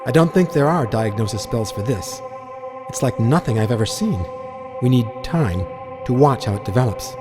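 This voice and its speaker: Guy playing a serious mage teacher, measured, middle-pitched, music clearly behind, storybook. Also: animated, natural voice. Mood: grave, concerned. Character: grave, measured adult male mage teacher.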